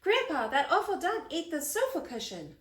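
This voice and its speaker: A woman voicing plaintive young grandchildren in a children's picture book, speaking high and emphatically. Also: big pitch swings, moderate pace, a bit bright, a little hiss or hum. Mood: whiny complaining. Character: complaining young grandchildren, whiny and wondering. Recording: roomy, echoing sound.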